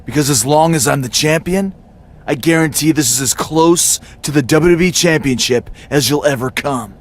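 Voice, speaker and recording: A man's voice: fairly high pitch, moderate pace, lightly acted. A man playing a cocky, self-entitled pro wrestler in a video game cutscene, with a smug, sarcastic manner. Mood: boastful.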